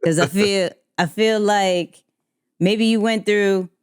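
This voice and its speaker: A woman hosting a podcast interview in a chatty, lively way, often repeating herself. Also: medium pitch, takes it slowly, clean tone, no echo, studio quality. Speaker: podcast host. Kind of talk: casual interview.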